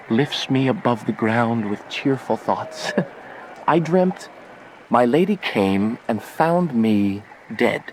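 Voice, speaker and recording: A man's voice: mid-range voice, poor audio. An actor playing a lovesick, impulsive young nobleman in a declamatory, poetic Shakespearean radio drama. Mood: dreamy, eager. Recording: faint background noise.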